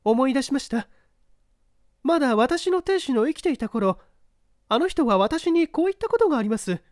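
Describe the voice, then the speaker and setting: A woman playing an uneasy widow who gives polite, measured, formal answers in a dramatised mystery story reading. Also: high voice, sing-song, a little mellow, no echo, clean studio sound. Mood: uneasy, subdued. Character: uneasy widow testifying before an examining judge.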